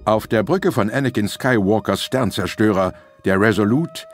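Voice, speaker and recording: A man's voice: fairly low pitch, moderate pace. A man narrating a science fiction audio drama in a dramatic, scripted style. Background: orchestral music.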